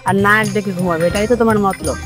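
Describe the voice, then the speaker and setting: A woman voicing a sharp-tongued, impatient young wife in a comedy cartoon, scolding, a little distant under music. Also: medium pitch, fast talker, natural voice. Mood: accusing.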